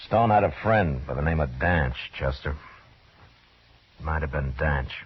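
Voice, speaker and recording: An actor playing a steady, wary frontier lawman in a 1950s radio Western, speaking in a laconic, matter-of-fact way. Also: a bass voice, unhurried, slightly rough, heavily acted. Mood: grim, measured. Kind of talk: 1950s radio drama acting and first-person narration. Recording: dull, noisy old radio recording.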